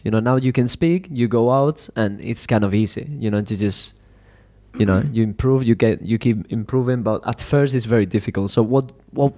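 A man who teaches English hosts a casual podcast interview with a student. His voice is warm and mellow. He talks loosely, restarting often and leaning on "you know". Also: medium pitch. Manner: rambling, many "you know" fillers and restarts.

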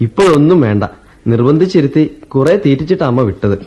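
A man's voice: a little high, lively intonation, fast talker, husky. A young man playing Anu, a troubled college student worried about his mother, in a radio play. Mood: earnest. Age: young adult. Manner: earnest, melancholy, reflective. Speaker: radio drama actor. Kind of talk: 1980s Indian radio play acting. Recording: dull old radio recording with faint music.

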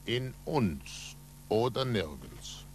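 An actor in a 1940s German radio play playing a passionate, excitable male scientist who believes defiantly in reason, speaking with rapturous emphasis. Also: lowish voice, drawn-out words, heavily acted. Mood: impassioned. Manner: emphatic, rapturous, swinging from hushed to shouting. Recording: noisy, humming 1940s radio recording.

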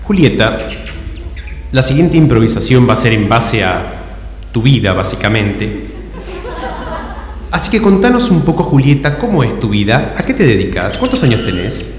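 Animated Argentine man hosting in an echoey hall. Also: medium pitch, normal speed, long pauses. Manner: teasing, echoes answers back. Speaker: improv show host. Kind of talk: audience interview. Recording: echoey hall with live audience.

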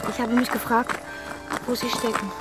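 Casting: actress as young society daughter for radio play, calm, coy, reserved, slightly high voice over music. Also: female voice, normal speed, natural voice, rough recording. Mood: guarded. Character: poised young well-bred daughter, calm, coyly amused. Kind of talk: German radio drama acting.

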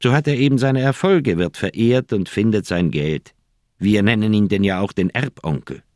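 An actor playing a conscience-stricken old doctor in a German radio crime drama, speaking in an earnest, heavy, troubled manner. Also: male voice, fairly low pitch, expressive, moderate pace, darkish tone, no room sound, clean studio sound, lightly acted. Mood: uneasy, explaining. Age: older.

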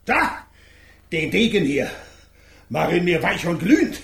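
Gravelly, brutish blacksmith. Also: male voice, high voice, sing-song, unhurried, a bit bright, exaggerated voice. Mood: goading. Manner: cursing, spitting, grunting between short bursts. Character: foul-mouthed, hard-drinking brutish blacksmith. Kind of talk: German children's radio play acting. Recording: echoey room with background noise.

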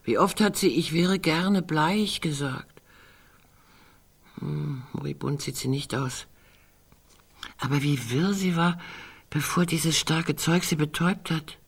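Husky, sardonic old woman. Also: low-pitched, takes it slowly, long pauses, full character voice. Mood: reproachful. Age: older. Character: sardonic, gloomy elderly woman, sharp-tongued with her housemate. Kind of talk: German radio drama acting.

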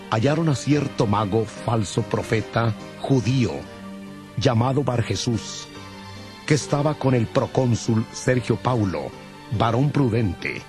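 A man reading scripted scripture narration in a solemn, measured way. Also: medium pitch. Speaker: Bible narrator. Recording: music under the voice.

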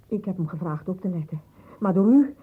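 Husky, suspicious woman. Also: fairly low pitch, expressive, fairly quick. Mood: scolding. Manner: insistent, accusing. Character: sharp, suspicious younger woman accusing her uncle. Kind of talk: radio play acting. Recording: thin, phone-like old radio play recording.

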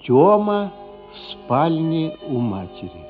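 A man narrating a literary radio play in a measured storytelling manner. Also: on the high side, very animated. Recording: muffled, phone-like sound with music. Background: music.

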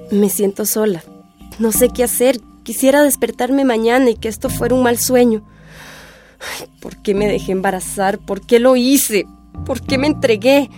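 A woman's voice: mid-range voice, natural voice. A woman acting an anguished, lonely young pregnant woman in a radio soap opera, with an emotional, confiding delivery full of sighing exclamations. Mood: lonely, despairing.

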